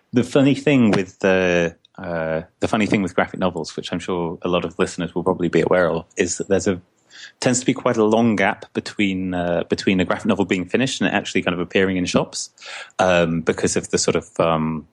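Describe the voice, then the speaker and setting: A young man from Scotland, a comics artist answering interview questions in a casual, halting way, full of restarts and fillers. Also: low-pitched.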